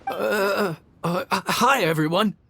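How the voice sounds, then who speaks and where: A man's voice: sits high, takes it slowly, gravelly, heavy background noise, heavily acted. A man playing a nervous, bashful male cook in a video game, hesitant and stammering. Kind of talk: video game cutscene acting. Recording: music behind the voice.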